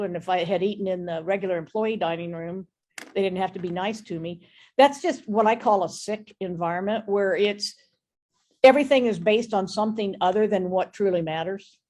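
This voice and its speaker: Woman, medium pitch, guest expert, frank and opinionated, conversational business talk, online meeting. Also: moderate pace, a little mellow.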